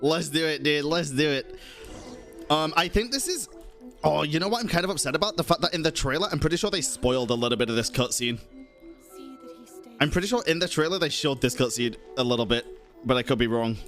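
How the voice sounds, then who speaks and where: A man's voice: on the high side, lively intonation, a little fast, fairly bright tone, soft background music. A man giving casual live commentary as a game streamer, slangy, sweary and reactive.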